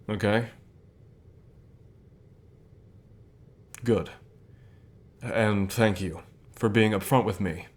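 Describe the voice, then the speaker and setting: A man acts out a calm company boss with a fairly low voice. He talks in a measured, unhurried way, leaving pauses between phrases. It is an intimate ASMR roleplay, encouraging and lightly flirtatious in temper. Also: lightly acted. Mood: earnest, reassuring. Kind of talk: ASMR roleplay, softly acted one-sided dialogue.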